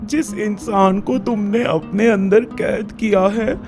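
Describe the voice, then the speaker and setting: A man's voice: sits high, fairly even intonation, slow pace. A man voicing a kind, honest young villager in a cartoon story. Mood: earnest. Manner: earnest and gentle. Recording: voice over background music.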